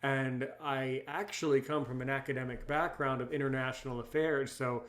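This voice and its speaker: A man with a middling-pitched voice talks as an interview host. He is emphatic, often saying a word or phrase two or three times over. The style is conversational, like a podcast. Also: little pitch movement, moderate pace, fairly bright tone. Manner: emphatic, repeats words and phrases. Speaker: English as a second language teacher.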